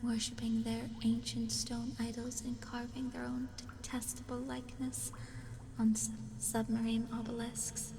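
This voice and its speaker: A haunted, terrified narrator of an old horror tale, acted by a woman. The delivery is slow, breathy and full of dread. The voice is slightly high and hangs in a long reverb. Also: monotone, mellow. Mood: ominous dread. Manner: slow, breathy, ominous, intense. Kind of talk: dramatic horror story reading. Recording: long cavernous reverb, faint noise.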